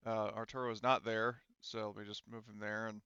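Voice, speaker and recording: Male game master, conversational and halting, smooth voice on a slightly dull recording. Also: lowish voice, little pitch movement, bright, forceful tone, no echo. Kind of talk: narrating a tabletop roleplaying game, casual.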